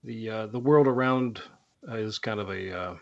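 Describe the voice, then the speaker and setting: A man's voice: lowish voice, normal speed, gravelly. A man co-hosting a podcast, asking questions in a hesitant way, stacking ums and repeated words. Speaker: podcast host. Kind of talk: casual interview. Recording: muffled lo-fi sound.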